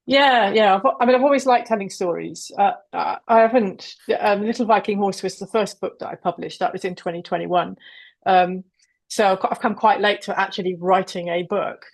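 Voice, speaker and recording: A woman from South Wales, a guest author, talking in a hesitant way with many pauses and restarts over a video call. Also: mid-range voice. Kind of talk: casual interview chat.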